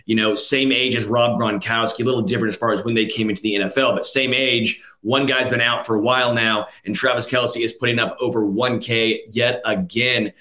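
A man's voice: mid-range voice, steady tone, brisk, hardly any pauses, pushed and bright, roomy sound. A man hosting a sports podcast, asking long rambling questions in a conversational way. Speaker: podcast host. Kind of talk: casual sports podcast chat.

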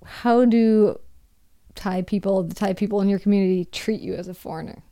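A woman hosts a podcast interview, asking curious follow-up questions in a casual tone. Her voice is warm and mellow, at an ordinary pitch for a woman. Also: normal speed.

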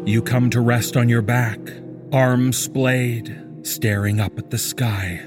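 Very slow, grave male horror narrator. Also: mid-range voice, fairly even intonation. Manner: grave, deliberate, intense. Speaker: story narrator. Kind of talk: dramatic scripted horror narration. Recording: prominent music bed behind the voice. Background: music.

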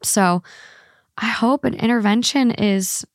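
Even-voiced woman reading posts aloud. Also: on the high side, takes it slowly, no echo, clean studio sound. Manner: reads long posts aloud in an even, steady voice. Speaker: podcast co-host.

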